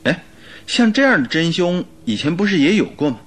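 An actor playing a detective's inquisitive companion in a radio drama, reasoning aloud in a conversational way. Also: male voice, a little high, big pitch swings, brisk, a bit bright. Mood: pondering.

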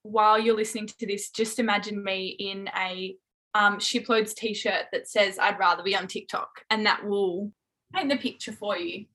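A twenty-year-old woman with an Australian accent, chatting openly as a podcast guest. She fills her sentences with "like" and swears casually. Her pitch sits at an ordinary middle height. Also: fairly even intonation, moderate pace, fairly bright tone. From Hobart, Tasmania. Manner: chatty, candid, frequent "like" fillers, swears casually. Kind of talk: casual chat.

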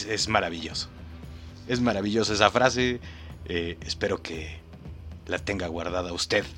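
Deep, bright-toned mock-serious podcast host. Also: male voice. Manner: mock-solemn, playful, addresses listener directly. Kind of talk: podcast commentary on clips.

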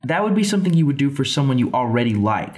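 A young man co-hosting a podcast, chatting casually in a quick, rambling, joking way. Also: medium pitch, big pitch swings, a little mellow. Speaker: podcast co-host. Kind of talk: casual chat.

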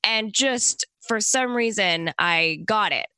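A woman's voice: mid-range voice, animated, slow pace, bright, forceful tone, smooth, no room sound. A young woman from Canada answering interview questions in a chatty, upbeat way with plenty of likes and ums. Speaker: interview guest.

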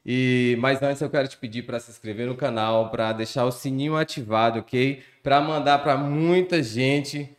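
Joking podcast host. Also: male voice, mid-range voice, steady tone, normal speed, few pauses. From western Bahia, Brazil. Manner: jokey, chatty, laughs often. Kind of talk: casual podcast hosting.

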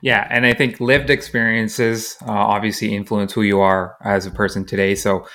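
A man with a fairly low voice hosts the podcast and asks his guests long, winding questions. He hedges a lot, using "kind of" and "you know" throughout. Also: little pitch movement, normal speed, fairly bright tone. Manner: long hedging questions with fillers. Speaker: podcast host. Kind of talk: interview questions.

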